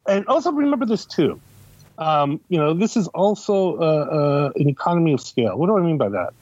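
A man with a slightly high voice explains things as an interview guest. He talks conversationally, setting up questions and answering them himself. The audio is dry and a little dull. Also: very animated, brisk, a little mellow, dry recording. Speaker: guest, critic and editor. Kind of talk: casual explanatory interview talk.

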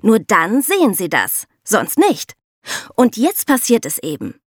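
A woman's voice: a little high, wildly swooping pitch, unhurried, dry recording, clean studio sound. A woman co-hosting a podcast, explaining things in an emphatic, colloquial way with rhetorical questions. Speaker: podcast co-host. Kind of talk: lively explanatory talk with co-hosts.

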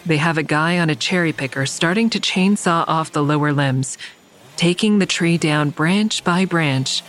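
An actress as a wry, defiant thriller heroine. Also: female voice, low-pitched, normal speed, close to an everyday voice. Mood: wry, watchful. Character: weary, defiant woman narrating her own thriller. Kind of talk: first-person audio drama narration and dialogue.

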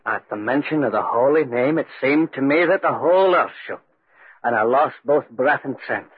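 A man playing an awed but plucky Scottish piper, earnest and husky, in 1940s radio style. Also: medium pitch, lively intonation, moderate pace. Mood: dazed storytelling. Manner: earnest, respectful, anxious. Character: awed, plucky Scottish piper telling his ghostly tale. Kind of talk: 1940s radio acting with storytelling. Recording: muffled 1940s radio recording.

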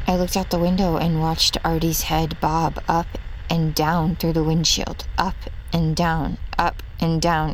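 A woman playing a haunted, obsessive mother who recounts a nightmare in halting, intense audio fiction, repeating her words. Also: sits low, slight background noise, rough recording. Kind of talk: dramatic first-person audio fiction acting.

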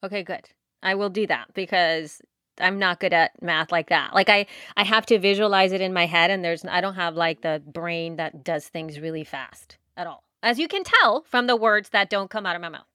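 A woman's voice: lowish voice, normal speed, sharp tone, no room sound. Dry-sounding close woman's voice, forties. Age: 40s. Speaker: podcast co-host. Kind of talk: casual, reflective chat.

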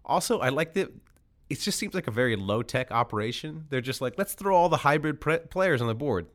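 A man's voice: mid-range voice, animated, brisk, a bit bright. A man hosting a podcast in a casual, joking style. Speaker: podcast host. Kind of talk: casual banter and comedic dramatic reading.

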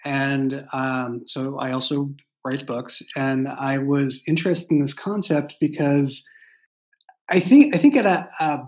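A man's voice: mid-range voice, unhurried, a little mellow. A man talking thoughtfully as an interview guest, often searching for his words. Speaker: podcast guest, writer and creator. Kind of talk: casual, thoughtful interview talk.

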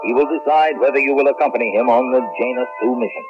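A man's voice: mid-range voice, steady tone, quick pace, over music, close to an everyday voice. An American man acting a composed general in charge of a space program, official and explanatory, in a 1970s radio drama. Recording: muffled lo-fi 1970s radio broadcast.

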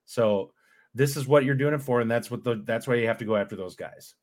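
A man's voice: medium pitch, brisk, a little mellow. A man co-hosting a sports podcast, giving earnest game analysis in a casual, restarting way. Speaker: podcast co-host.

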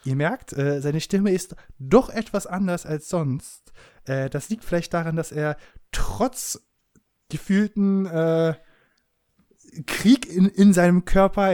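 A man's voice: fairly high pitch, darkish tone, clear, resonant tone, no room sound, studio quality. A man hosting a podcast, joking and playful in casual chat. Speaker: podcast host.

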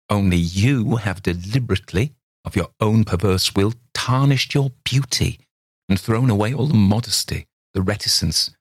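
A man reading a novel aloud, voicing a stern, priggish gentleman who lectures in a measured, disapproving way. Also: sits low, no room sound, clean studio sound. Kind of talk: audiobook character voice.

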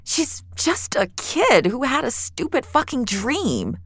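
A woman's voice: high voice, huge pitch swings, takes it slowly, slightly rough, no room sound, pitched above the performer's own voice, full character voice. A woman acting a defiant, wounded fugitive woman in an audio drama. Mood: desperate, angry. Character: defiant, wounded woman on the run, dream-eraser.

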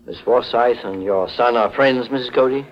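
An actor playing a calm, probing, authoritative private investigator in a 1940s radio drama, measured, formal and stern. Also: male voice, medium pitch, a little hiss or hum, natural voice. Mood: formal, businesslike. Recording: dull old 1940s radio broadcast.

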